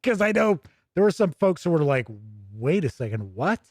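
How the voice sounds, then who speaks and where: Casting: American man, middle-aged gaming show host, slightly high voice, chatty livestream delivery, close and dry sound. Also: very animated, moderate pace, darkish tone, dry recording. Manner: chatty, conversational, with playful asides. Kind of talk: casual livestream commentary.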